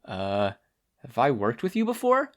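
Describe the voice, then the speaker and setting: A man's voice: medium pitch, huge pitch swings, measured pace. A man voicing a brash, upbeat adult superheroine with a breezy, quippy manner in a fan fiction reading.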